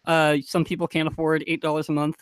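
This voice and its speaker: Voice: mid-range voice, completely flat delivery, fast talker, smooth, no room sound. A man speaking as a panelist in a casual discussion, frank and informal with a dry turn of phrase. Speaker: podcast panelist.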